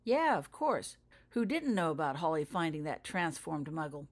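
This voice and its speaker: A woman voicing a polite, puzzled schoolboy wizard in a storybook reading, with halting, unsure replies. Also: sits low, very animated. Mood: startled, wary.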